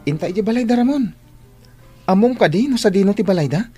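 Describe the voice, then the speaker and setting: A man's voice: high voice, animated, fast, warm tone, husky, faint music behind. A man playing a frank, exclamatory and encouraging school friend in an Ilocano radio drama. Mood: brisk, teasing.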